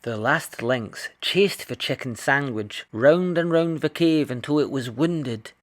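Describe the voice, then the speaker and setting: A man narrating a children's picture book in an expressive read-aloud style. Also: mid-range voice, a little slow. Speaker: storybook reader.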